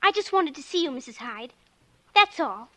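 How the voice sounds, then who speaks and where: A woman's voice: sits high, sing-song, moderate pace, very noisy. A woman playing a plucky, inquisitive little girl with an artless, earnest manner in a 1940s radio suspense drama. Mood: innocent, probing.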